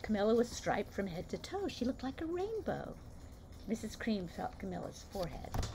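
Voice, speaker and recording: A woman's voice: mid-range voice, moderate pace, creaky, slight background noise. An older woman, a grandmother, reading a picture book aloud and chatting about the pictures between pages. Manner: warm storytelling, comments on the pictures, lively.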